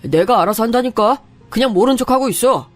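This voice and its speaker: An actor playing a young husband in a radio story drama, clipped and dismissive, with faint music behind. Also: male voice, extremely high pitch, animated, brisk. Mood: curt. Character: young husband, curt and defensive. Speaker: husband character.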